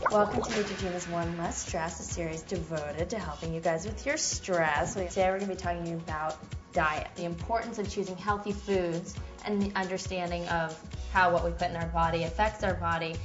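A woman's voice: lowish voice, steady tone, brisk, highs cut off, over music. A young woman hosting a lifestyle show in a studio set, bubbly and friendly, on a lapel mic. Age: young adult. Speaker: host. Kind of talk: upbeat presenting.

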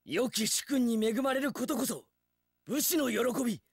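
An actor playing a young, hot-blooded, loyal samurai in an action game, bellowing earnestly in archaic warrior speech. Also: male voice, very high voice, animated, normal speed, slightly rough, dry recording, studio quality, heavily acted. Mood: fiery resolve. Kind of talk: Japanese action game voice acting.

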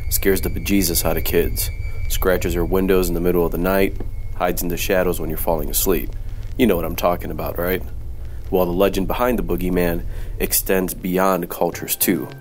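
A man playing a wisecracking, sardonic detective, narrating in deadpan, slangy radio noir style. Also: sits low, slight background noise, lightly acted, rough recording. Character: wisecracking hard-boiled male detective, sardonic and unfazed.

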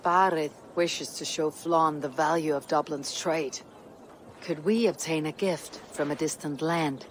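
A woman's voice: low-pitched, fairly even intonation, measured pace, a little hiss or hum. A woman playing a bold, blunt, resolute female Viking warrior in a dramatic video game cutscene. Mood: measured. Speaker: game protagonist.

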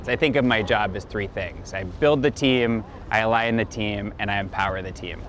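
A man's voice: fairly low pitch, moderate pace, fairly bright tone, slight background noise. A man in his thirties talking informally about his life and work, explaining as an executive in a casual interview. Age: 30s. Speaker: company chief executive being profiled. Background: background music.

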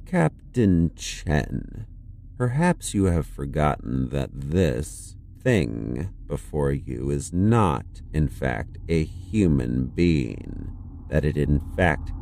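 A man's voice: very deep voice, creaky, mellow, a little hiss or hum, full character voice. An actor playing a pompous, condescending corporate councilman in an audio drama, cold and authoritarian, with clipped formal delivery.

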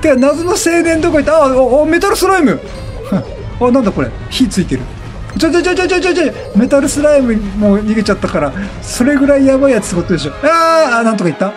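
A man commentates on a video game in a high, very animated voice. He reacts with bursts of repeated exclamations, while game music plays behind him. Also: fast talker. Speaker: let's play gamer.

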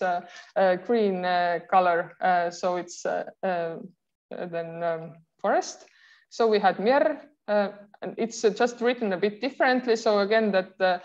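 Woman, Estonian accent, university lecturer, hesitant delivery, muffled video call audio. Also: medium pitch. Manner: hesitant, many filler sounds and restarts. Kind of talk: online lecture.

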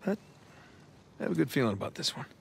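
An actor playing a wry, weary, middle-aged American adventurer in a video game, talking casually and sometimes hesitantly. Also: male voice, fairly high pitch, sing-song, quick pace, creaky, fairly bright tone, a little hiss or hum, heavily acted. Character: wry, weary adventurer man, affectionate and easygoing. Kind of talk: cinematic video game acting, naturalistic.

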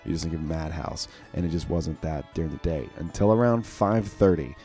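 Guy podcasting solo, super low mellow voice today, casual rambly and into it. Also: moderate pace, faint music behind. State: voice sounding unusually low today. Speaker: podcast host.

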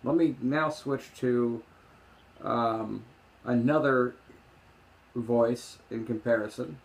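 A man giving an informal explanatory commentary, halting and emphatic, often restarting his phrases. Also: mid-range voice, creaky, slightly rough. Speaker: video creator. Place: small room at home.

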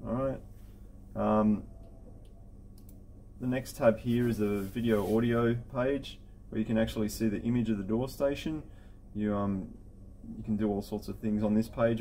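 Low, mellow man giving a software tutorial. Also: a little hiss or hum. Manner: informal, matter-of-fact instructional talk. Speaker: intercom installer giving a software setup tutorial. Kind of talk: casual step-by-step screen tutorial. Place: indoor office at a desk with computer.

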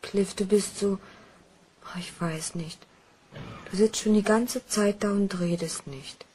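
Concerned girlfriend type in crime radio play, husky voice, affectionate, coaxing. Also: medium pitch, steady tone, slow, warm tone. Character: concerned, soothing partner of a troubled cashier.

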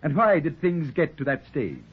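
Wry, stubborn man, husky. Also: a little high, sing-song, moderate pace. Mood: impassioned. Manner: wry, defiant, articulate. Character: stubborn, principled married cafe owner, wry man. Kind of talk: 1940s radio acting. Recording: tinny old 1940s radio recording.

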